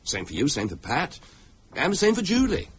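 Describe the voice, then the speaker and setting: An actor playing a sceptical, pedantic husband with an English accent in a British radio drama. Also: male voice, a little high, wildly swooping pitch, normal speed, a little hiss or hum. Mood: argumentative. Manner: pedantic, dismissive. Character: argumentative, sceptical husband, pedantic bridge player.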